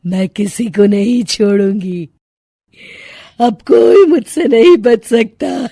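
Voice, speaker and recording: A woman voicing a dangerous, taunting witch in a cartoon story, with a drawn-out delivery. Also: a little high, dark tone, dry recording, clean studio sound. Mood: menacing. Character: dangerous menacing witch with big teeth, out hunting.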